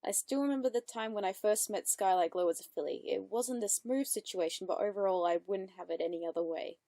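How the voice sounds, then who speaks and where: A woman's voice: mid-range voice, fairly quick, warm tone, dry recording. A woman giving chatty, amused commentary while reacting to an audio drama.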